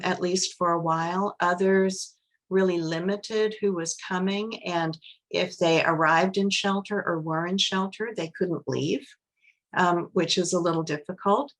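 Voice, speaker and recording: Lowish-voiced woman, relaxed webinar presenter, conversational. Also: low-quality recording. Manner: relaxed, chatty, with fillers.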